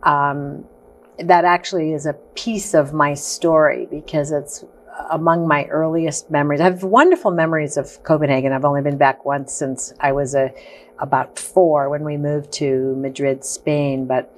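A woman around sixty, a guest in a studio interview, telling her life story in long, searching sentences with many pauses and ums. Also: low voice, steady tone, slight background noise. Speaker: guest, activist. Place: TV studio set.

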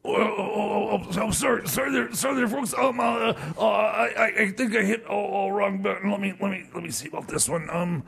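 A man playing a flustered radio DJ in comedy radio theater, stammering and rambling in a raspy, creaky, high voice. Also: moderate pace, few pauses, fairly bright tone, shouting, heavily acted. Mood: flustered, apologetic. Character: Jeb, flustered male radio DJ. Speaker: radio host.

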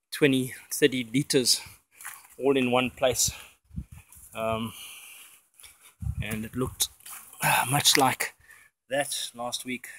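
A man's voice: medium pitch, a bit bright, poor audio. A man, casually showing his worm farm in a sunny garden and chatting enthusiastically to a handheld phone camera. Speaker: worm farmer vlogger. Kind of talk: casual how-to vlog.

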